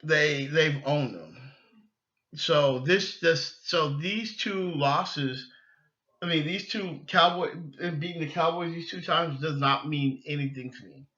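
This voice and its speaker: A middle-aged man hosting a sports podcast solo, giving opinions emphatically and haltingly, repeating phrases as he goes. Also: a little high, pushed and bright, muffled recording.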